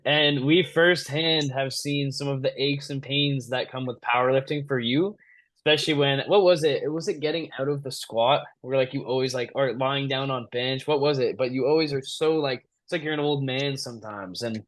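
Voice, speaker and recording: A man's voice: medium pitch, normal speed, fairly bright tone, no room sound. A young man hosting a podcast, chatting casually and asking questions over a video call. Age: young adult. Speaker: podcast host. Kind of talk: casual interview chat.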